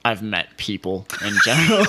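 A man's voice: on the high side, heavy background noise. A man co-hosting a podcast in casual, joking conversation, using slangy, informal speech. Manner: informal slangy speech, says "dude" and "like" often. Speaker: podcast co-host. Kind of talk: casual comedic podcast chat.

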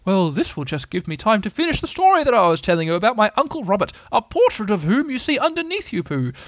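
Man doing a pompous old owl, fussy and wordy, a bit high, dry sound. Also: very animated, quick pace, no room sound. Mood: rambling. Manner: fussy, long-winded, self-important.

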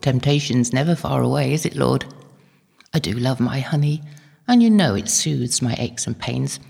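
An actress playing a devout, motherly older holy woman in an audio drama, gentle, knowing and unhurried. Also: a bass voice, expressive, vocal fry, slightly rough, in a large hall, heavily acted. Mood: musing.

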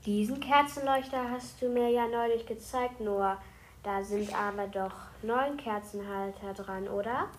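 A woman's voice: medium pitch, measured pace, slight background noise, natural voice, poor audio. A woman playing a curious, enthusiastic girl who explains things carefully in a children's audio play. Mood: explanatory.

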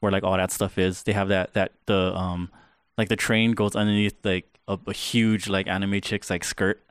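Man, forties, from Hawaii, light Pidgin accent, low voice, dry close sound, laid-back, lots of fillers. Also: level tone, normal speed, smooth, no echo. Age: 40s. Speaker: guest. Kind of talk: casual podcast chat.

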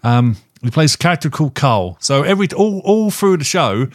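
A man co-hosting a podcast, chatting casually through a review in a halting way, often correcting himself. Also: a little high, big pitch swings, dry recording, studio quality. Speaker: podcast co-host.

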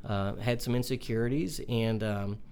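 A man in his forties with a young-sounding voice, answering interview questions in a halting way with many fillers and restarts. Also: on the low side, darkish tone, clean tone. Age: 40s. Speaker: mortgage company owner.

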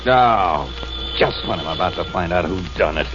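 A man's voice: low voice, expressive, normal speed. An actor playing a breezy, slangy male newspaper crime photographer in a 1940s radio drama. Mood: startled. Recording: dull old radio recording with music.

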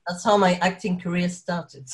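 A man's voice: sits high, little pitch movement, normal speed. A young man with a French accent, a guest actor chatting in an interview, rambling with restarts and frequent laughs. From Belgium. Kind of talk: casual interview chat.

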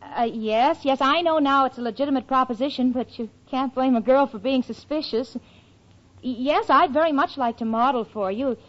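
A woman's voice: high-pitched, normal speed, lightly acted. An actress playing a sensible, eager young city woman with a wry streak in a 1940s radio comedy. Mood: flustered, polite. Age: young adult. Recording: dull vintage radio recording.

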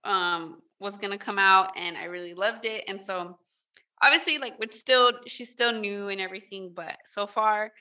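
A woman's voice: medium pitch, moderate pace, bright, forceful tone. A woman co-hosting a casual chat, rambling with lots of fillers and restarts. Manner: rambling, filler-heavy with many "like"s. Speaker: podcast co-host.

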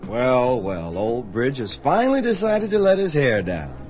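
An actor playing a jaunty, hip party-going friend in a radio drama, with slangy, coaxing talk. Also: male voice, mid-range voice, big pitch swings, unhurried, darkish tone, soft background music. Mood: playful.

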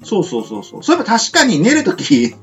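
A man chatting casually as a radio host, telling things in an animated way and acting out reactions. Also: sits high, quick pace, muffled recording. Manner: animated storyteller, acts out imagined reactions. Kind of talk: casual chat. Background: background guitar music.

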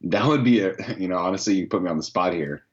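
A man with a very deep, low voice talks through his answers slowly and earnestly, as if working them out aloud. The sound is a little dull and lo-fi. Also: expressive. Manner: reflective, earnest, thinks aloud. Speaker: podcast host, guest here. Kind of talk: casual interview answers.

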